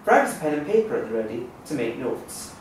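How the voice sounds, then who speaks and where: Male stage-directions reader for a table read: animated intonation, scripted delivery, far from the mic in a reverberant room. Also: mid-range voice, fast. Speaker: narrator reading stage directions. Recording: echoey room, distant mic, faint noise.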